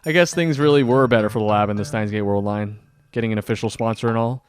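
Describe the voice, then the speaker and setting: A man's voice: fairly low pitch, quick pace. A man hosting a scripted commentary video with enthusiastic delivery and dramatic emphasis. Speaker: video host and anime fan. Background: background music.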